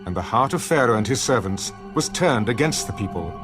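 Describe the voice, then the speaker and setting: A man giving solemn, measured scripted narration for a dramatized audio Bible. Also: medium pitch, lively intonation. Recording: loud dramatic music bed.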